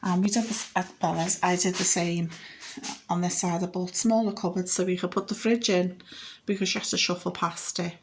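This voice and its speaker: A woman's voice: fairly low pitch, steady tone, moderate pace, slight background noise. A woman in her fifties with a Liverpool accent, chatting casually and matter-of-factly as she gives a home vlog tour in a rambling way. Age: 50s. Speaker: home renovation vlogger. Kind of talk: casual house tour chat. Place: inside a house.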